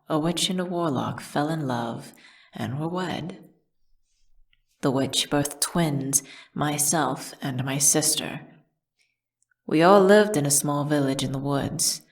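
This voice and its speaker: A woman playing a world-weary, guilty witch prisoner confessing her story in a dramatic ASMR audio roleplay, measured and theatrical with breathy, whispery dips. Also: sits low. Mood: wistful storytelling.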